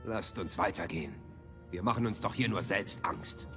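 Man as a deep-voiced, blunt fighter. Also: moderate pace, music in the background, natural voice. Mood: uneasy, wry.

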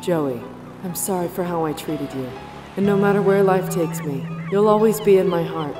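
A woman's voice: lowish voice, moderate pace, close to an everyday voice. A woman voicing a wistful duelist biker with earnest, sentimental delivery and echoing reverb, in an anime parody. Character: wistful, confident adult female duelist biker. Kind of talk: anime parody fan dub. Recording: echoing reverb under music.

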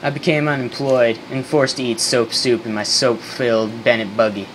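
A failed soap magnate acted by a man, boastful and bitter, speaking deadpan in period slang. It is an amateur radio skit with a mid-pitched voice and clear background noise. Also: normal speed, natural voice.

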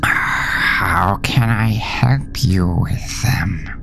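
A man voicing a small maggot-faced demon in a horror story, speaking in a slow, hushed, tender and eerily soothing way. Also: sits low, creaky, heavily acted. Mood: eerie, coaxing. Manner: slow, drawn-out, hushed delivery. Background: music.

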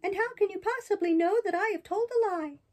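A woman voicing a naughty, excitable wooden puppet boy in a storybook reading, with a high, eager delivery. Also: fairly quick.

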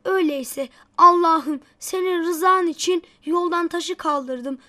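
A woman's voice: very high voice, slow pace, bright, forceful tone, full character voice. A woman voicing an eager, pious little boy in a children's cartoon, earnest and bubbly.

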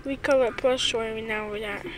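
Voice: mid-range voice, fairly even intonation, normal speed, over music. Someone chatting casually and playfully to camera, often repeating phrases, recorded on a phone inside a car. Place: inside a car, back seat.

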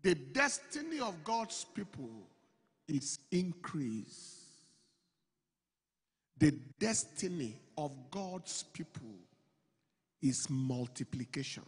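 A middle-aged man preaching a sermon into a handheld mic on a large church stage. He goes from slow, measured phrases to loud, emphatic declarations. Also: on the high side, big pitch swings. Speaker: pastor. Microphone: handheld microphone. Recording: long hall reverb, slightly breathy.

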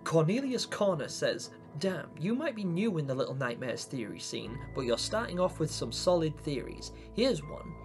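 A man in his twenties hosting a scripted theory video, speaking in an expressive, theatrical storytelling way. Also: fairly high pitch. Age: 20s. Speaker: video host. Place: small room at home, indoors.

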